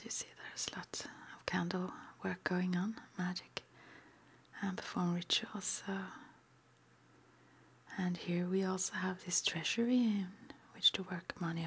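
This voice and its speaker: A woman speaks in a breathy whisper, very slowly and with long, halting hesitations. Her voice sits low with some creak in it. She plays a gently reassuring guide in an ASMR roleplay, over faint background noise. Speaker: ASMR roleplayer playing a witch school guide and interviewer.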